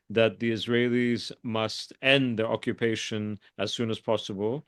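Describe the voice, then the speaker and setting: A man giving measured political analysis as an expert guest in an interview, speaking deliberately and carefully qualifying his points. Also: mid-range voice, dry recording, studio quality. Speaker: guest expert, foreign policy scholar.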